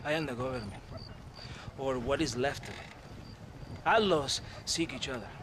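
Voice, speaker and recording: An actor voicing a sly, theatrical Mexican army captain with a Mexican Spanish accent, who swings between mocking laughter and hushed, mournful confiding. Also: male voice, mid-range voice, moderate pace, natural voice. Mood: grave. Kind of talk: video game cutscene acting. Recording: noisy outdoor game soundscape.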